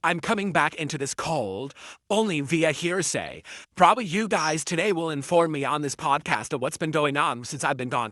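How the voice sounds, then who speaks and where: A man's voice: on the high side, pushed and bright, no echo, clean studio sound. A man livestreaming from his home room, chatting with viewers in a rambling, defensive way, full of fillers and restarts.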